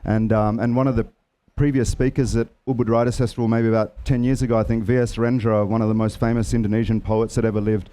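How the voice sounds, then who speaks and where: A man's voice: on the low side, level tone, quick pace, mellow, clear, resonant tone. A man telling stories from his life as an author on a festival panel, reflective and with frequent fillers. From Australia. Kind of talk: relaxed storytelling.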